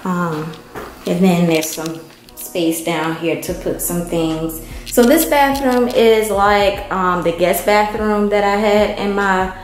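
Woman, vlogger, slow relaxed pace, chatty with ums, handheld camera, empty indoor apartment. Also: mid-range voice, faint music behind, low-quality recording. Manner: unhurried, chatty, many ums. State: hot and worn out from moving. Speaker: vlogger giving an apartment tour. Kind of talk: casual walkthrough narration.

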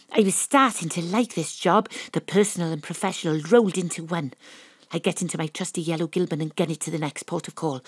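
An actress playing a wry, dogged private detective with a Welsh accent and a husky voice, in audio drama narration and dialogue. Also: female voice, on the low side, dry recording.

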